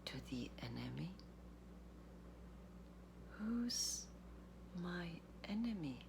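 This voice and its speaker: A woman giving a literary reading, with deliberate, measured phrasing, long pauses and a breathy, gravelly voice. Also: fairly low pitch, sing-song, noisy background. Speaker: artistic director of a theatre, reading aloud.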